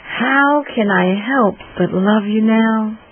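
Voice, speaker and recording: A woman storyteller voicing a tender, loving fairy-tale king, speaking softly, slowly and gently. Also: a little high. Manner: soft-spoken, slow, gentle. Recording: tinny phone-like sound with faint noise.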